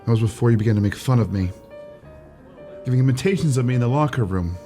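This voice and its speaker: A man's voice: mid-range voice, expressive, quick pace, dark tone, soft background music, lightly acted. An actor playing a teasing, proud, persistent male shop clerk in a radio romantic comedy, wry and mock-polite. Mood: wounded, sarcastic. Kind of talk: old-time radio romantic comedy acting.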